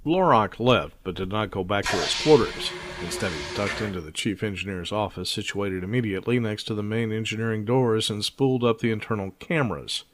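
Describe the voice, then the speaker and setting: A man's voice: fairly low pitch, a little fast, hardly any pauses, background noise. A man narrating a scripted science fiction audio drama in a wry storytelling manner.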